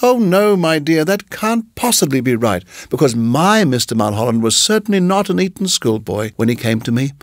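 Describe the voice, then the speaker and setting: A man's voice: a little high, sing-song, moderate pace, hardly any pauses, dry recording, clean studio sound. A man voicing a genteel, gushing older landlady in an audiobook, dainty, fussing and sweetly coaxing. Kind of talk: audiobook character voice.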